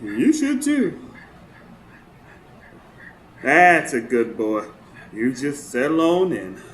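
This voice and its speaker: A man's voice: mid-range voice, wildly swooping pitch, extremely slow, exaggerated voice. An actor playing a rustic, kindly neighbor devoted to his old hound, with folksy colloquial talk, in a radio drama recorded live on stage. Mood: tender, weary. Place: stage before a live studio audience. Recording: roomy live stage recording, background noise.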